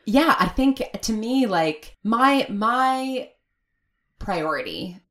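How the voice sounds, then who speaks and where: A woman's voice: fairly high pitch, animated, slow pace, a bit bright. A woman speaking as an interview guest, candid and opinionated, in a casual chatty style with lots of "like". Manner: candid, opinionated, frequent filler "like". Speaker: audio fiction writer and producer, interview guest.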